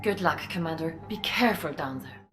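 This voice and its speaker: An actress playing a worried clinic doctor in a video game, earnest and polite. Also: female voice, low voice, lively intonation, brisk, over music. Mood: grateful. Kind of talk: video game character acting.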